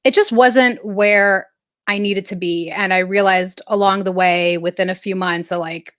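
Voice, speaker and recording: A woman from Southern California, a guest artist, telling her life story in a reflective, halting way with many fillers. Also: medium pitch, fairly even intonation, a bit bright, no room sound, studio quality. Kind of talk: casual interview storytelling.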